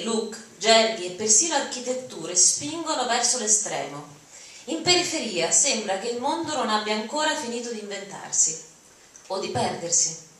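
A woman's voice: medium pitch, a bit bright. Female stage reader, dramatic literary delivery, distant and strongly reverberant auditorium sound. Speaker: actor giving a dramatic reading. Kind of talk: expressive literary reading from text. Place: auditorium podium, recorded from the audience. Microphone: podium mic, picked up by far camera. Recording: very echoey hall, distant camera mic.

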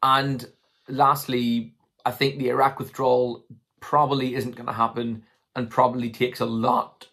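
A man in his twenties with a slightly hoarse voice, talking casually and tentatively to camera as he thinks through his commentary. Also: medium pitch, fairly bright tone. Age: 20s. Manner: tentative, hedging, thinking aloud. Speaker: political commentary vlogger. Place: small room at home, indoors.

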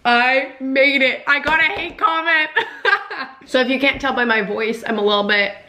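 A young woman from Canada, sick and raspy, chatting animatedly and sarcastically to her camera at home as a YouTuber. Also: high voice, normal speed. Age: young adult. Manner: animated, sarcastic, laughs often. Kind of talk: casual vlog, reading comments. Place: home kitchen, indoors.

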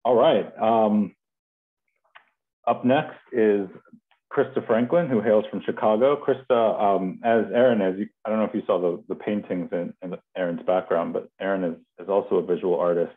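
A man in his thirties or forties informally introducing readers as host on a video call, halting and off-the-cuff, with muffled, narrow audio. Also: lowish voice. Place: home kitchen, indoors. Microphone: video call, laptop.

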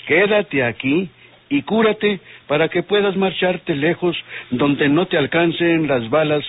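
An actor playing a deliberate, authoritative police inspector in an old radio soap. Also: male voice, fairly high pitch, husky. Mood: warning. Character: firm, measured police inspector. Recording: tinny band-limited old radio drama.

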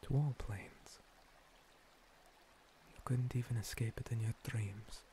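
A man playing an ancient, gentle monster priest, a scarred former paladin, in a breathy, halting, soothing ASMR roleplay whisper. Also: medium pitch, steady tone, a little hiss or hum, close to an everyday voice. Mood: solemn, reflective. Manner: slow, soothing, halting pauses. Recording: water streaming and pouring behind. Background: running water.

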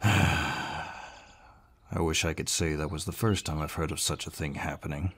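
An actor playing a large, gruff but kindly barbarian warrior in an audio roleplay, measured and reassuring, with a deep voice. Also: male voice, steady tone, fairly bright tone, full character voice. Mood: grave, weary. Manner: measured, reassuring, with pauses and sighs. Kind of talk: scripted audio roleplay, addressing the listener. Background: birdsong forest sound effects.

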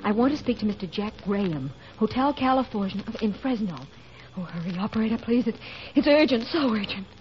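A woman's voice: a little high, animated, fairly quick, few pauses, close to an everyday voice. An actress playing an earnest, anxious, determined teenage girl in 1940s radio drama, pleading and melodramatic. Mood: urgent, determined. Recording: muffled, slightly noisy 1940s radio broadcast.

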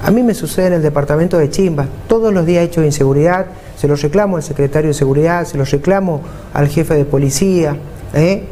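A man's voice: a little high, quick pace, mellow, slight background noise. A man in his forties with an Argentine Spanish accent, answering a TV interview as a mayor running for office, earnest and repeating points for emphasis. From San Juan, Argentina. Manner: earnest, repetitive for emphasis, speaks with his hands. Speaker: mayor and vice-governor candidate. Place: TV studio, seated at a table.

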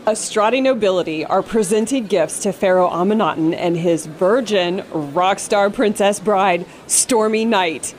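Woman as mid-pitched live event reporter. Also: measured pace, hardly any pauses, darkish tone, natural voice. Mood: announcing. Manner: broadcast announcing. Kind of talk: parody live coverage in radio comedy. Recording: faint background ambience noise.

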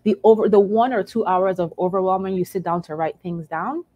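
A woman's voice: medium pitch, brisk, a little mellow, no echo, highs cut off. A woman in her thirties giving encouraging personal finance advice over a video call from home, often adding "right?". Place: home room, indoors. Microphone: video call webcam.

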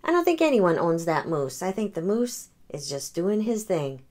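A woman hosting a children's read-aloud, narrating a picture book in an expressive storytelling manner. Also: fairly low pitch, moderate pace.

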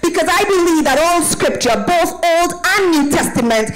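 Trini lady preacher, high voice, talking to her congregation on a handheld mic, asks for amens. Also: expressive, moderate pace. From Trinidad and Tobago. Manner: call-and-response, asking listeners to affirm. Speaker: evangelist, guest preacher. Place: tented church platform with draped backdrop. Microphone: handheld microphone.

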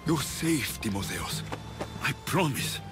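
A man's voice: medium pitch, animated, slow, music in the background. A man playing a confident, caring young Greek mercenary in a video game, assured and reassuring. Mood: concerned, reassuring. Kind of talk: video game cutscene acting.

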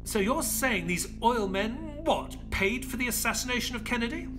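A man playing an earnest detective's sidekick who tells the story in an audio drama, in a measured, descriptive way. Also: extremely high pitch, animated, over music. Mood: concerned, puzzled. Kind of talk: audio drama narration and dialogue.

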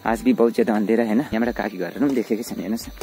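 A man's voice: lowish voice, fairly even intonation, rapid-fire, creaky, warm tone. A young man walks through his village home with a phone camera, narrating casually and calling out to family members along the way. Faint background music sits under his voice. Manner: chatty, mixes asides to family with narration to camera. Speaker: village vlogger. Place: rural hillside house and village, indoors and out.

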